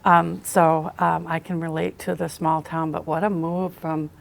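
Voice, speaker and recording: A woman's voice: low-pitched, level tone, unhurried, gravelly. A woman hosting a local TV author interview, asking questions and offering encouraging remarks. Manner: encouraging, warm affirmations. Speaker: local TV talk show host.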